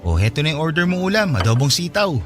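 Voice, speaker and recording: Persistent young boyfriend for radio drama, fast and animated, casual prodding delivery. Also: male voice, fairly high pitch, over music. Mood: casual, teasing.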